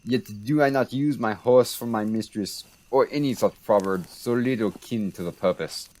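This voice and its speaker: A man's voice: fairly low pitch, normal speed, a little mellow, lightly acted, rough recording. Young man as wry, sardonic French commander. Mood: wry sparring. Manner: dry, wry. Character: sardonic French military commander, dry and mocking. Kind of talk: radio theater Shakespeare acting.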